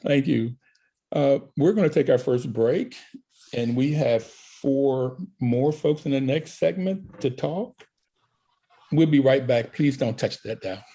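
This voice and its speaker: A man's voice: medium pitch, slow pace, dark tone, husky. A man hosting a talk show, telling anecdotes in a casual way with fillers. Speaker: radio show host.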